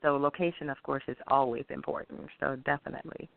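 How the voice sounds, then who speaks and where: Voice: medium pitch, level tone, fast, creaky. A middle-aged restaurant owner from Guyana, answering interview questions in a friendly, chatty way. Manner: friendly, explains dishes at length with fillers. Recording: tinny phone-like line with faint hiss.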